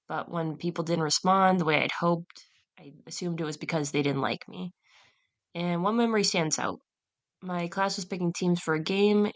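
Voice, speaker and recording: A woman's voice: on the low side, a bit bright. A woman hosting a solo podcast, reading aloud and chatting in a casual, storytelling way. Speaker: podcast host.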